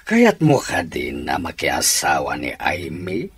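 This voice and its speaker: A gruff middle-aged father in an Ilocano radio drama. His voice is very husky and creaky, with wide swings in pitch. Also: wildly swooping pitch, slow, fairly bright tone, soft background music, heavily acted. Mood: doubting. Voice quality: gravelly, husky.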